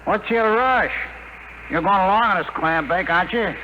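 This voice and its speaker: An actor playing a slangy, skeptical, wisecracking rocket technician in a 1950s radio drama, on an old broadcast recording. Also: male voice, high-pitched, normal speed, sharp tone, rough recording. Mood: joking. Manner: slangy, wry. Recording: hissy 1950 radio broadcast.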